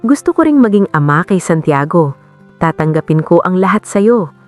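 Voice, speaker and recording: A woman voicing a devoted male suitor in a romantic story, speaking with gentle, patient sincerity. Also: on the low side, big pitch swings, normal speed, soft background music. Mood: sincere devotion.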